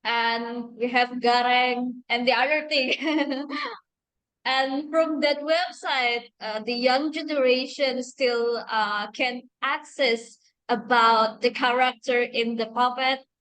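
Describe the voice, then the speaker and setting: A young woman from Indonesia whose first language is Japanese, talking in a relaxed conversation with many pauses, fillers and restarts, and soft laughs. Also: a little high, slight background noise, low-quality recording. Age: young adult. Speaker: host. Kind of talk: casual conversation.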